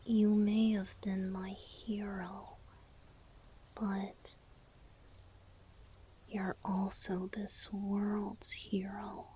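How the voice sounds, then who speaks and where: A breathy young male bard played by a woman speaks very slowly in a soft whisper. He is tender and playful, a spirit in love in a romantic ASMR roleplay, and his words come haltingly and sincerely. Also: mid-range voice, completely flat delivery, heavily acted. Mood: tender, confessional. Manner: slow, halting, heartfelt.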